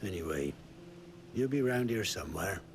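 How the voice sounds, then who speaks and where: An actor playing a chatty, wry village man in a game cutscene, with a British accent, gravelly and colloquial. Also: deep voice, animated, normal speed. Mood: wry, amused. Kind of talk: video game cutscene acting. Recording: music behind the voice.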